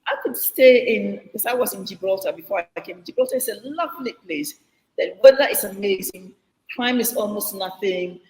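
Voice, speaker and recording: A woman's voice: mid-range voice, normal speed, a little mellow. An older woman answers interview questions over a video call, earnest and reflective, often stopping to restart a thought. Her voice rings with a long, hall-like reverb that trails after each phrase. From Ghana. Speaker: guest.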